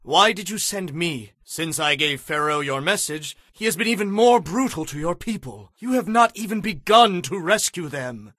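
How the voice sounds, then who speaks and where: A man's voice: on the high side, moderate pace, bright, forceful tone, no room sound, clean studio sound, voice pushed up. A man voicing earnest male messengers and prophets who press their demands. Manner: earnest, insistent.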